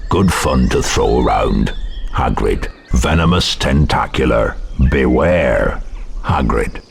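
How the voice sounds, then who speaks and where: A man playing a gruff, terse giant groundskeeper in a video game. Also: rumbling low, animated, slow, slight background noise, heavily acted. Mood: gruff caution. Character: gruff giant groundskeeper giving brief warnings. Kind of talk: video game character acting.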